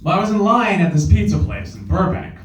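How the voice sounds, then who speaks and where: A man's voice: high voice, a little fast, close to an everyday voice. A man playing a smarmy, touchy, gleefully mean monstrous guy in his thirties in a comedy table read. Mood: smug storytelling. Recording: roomy, distant mic at live event.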